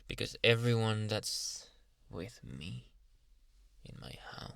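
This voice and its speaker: A man playing a possessive, softly menacing psychiatrist in an ASMR roleplay, coaxing in a hushed, breathy, deliberate voice. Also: animated, no room sound, studio quality, heavily acted. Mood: persuasive, possessive. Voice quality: breathy, gravelly low voice.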